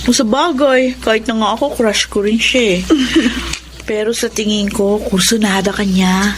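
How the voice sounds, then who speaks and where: A woman's voice: medium pitch, steady tone, normal speed, background noise, lightly acted. A woman with a Filipino accent playing a frank, teasing young student friend in a radio romance drama.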